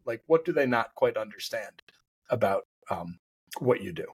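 A man's voice: on the low side, expressive, normal speed, slightly rough. A man hosting a podcast interview, speaking in a friendly, loose way with many fillers. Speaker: podcast host.

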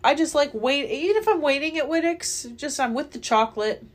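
A woman's voice: high voice, animated, fairly quick. A woman in her thirties with a Southern Ohio accent, co-hosting morning radio in a bubbly, rambling way. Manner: bubbly, rambling, laughs often. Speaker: radio co-host. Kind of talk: casual morning radio chat.

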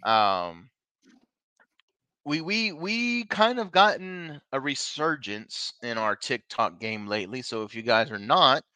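A man's voice: mid-range voice, sing-song, slow pace, sharp tone, clear, resonant tone, dry recording. A man co-hosting a casual live wrestling podcast from a bar, upbeat and plugging the show between topics. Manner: upbeat, plugging social media, teasing. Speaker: podcast co-host.

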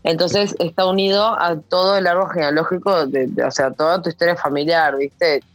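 A woman in her thirties speaks as a guest in a casual podcast chat, with a Rioplatense accent. Her delivery is expressive and colloquial. The sound is very dry, with no room echo. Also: lowish voice, moderate pace. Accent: Rioplatense Spanish. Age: 30s. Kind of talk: casual conversation.

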